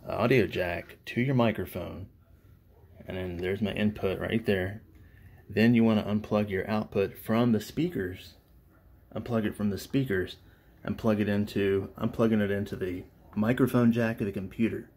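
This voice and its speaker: A man's voice: fairly low pitch, darkish tone. A man presenting an informal hands-on tech tutorial, explaining plainly step by step.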